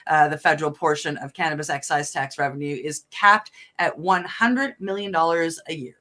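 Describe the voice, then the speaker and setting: Halting, low-voiced woman co-host. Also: pushed and bright. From Canada. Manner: halting, many fillers and restarts. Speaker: co-host reading cannabis news. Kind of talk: casual news reading and chat.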